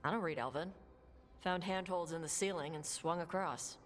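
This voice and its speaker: A woman playing a blunt, laconic young dragon hunter and treasure seeker in a video game, talking gruffly and informally. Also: lowish voice, normal speed, natural voice. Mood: grumbling, blunt. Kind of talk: video game dialogue acting. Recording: music under the dialogue. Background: game music.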